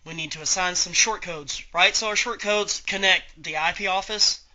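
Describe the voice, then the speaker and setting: A man giving an informal step-by-step software tutorial as an instructor, talking through each click aloud. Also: high voice, normal speed, a little hiss or hum, poor audio. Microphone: voice-over on a screen recording.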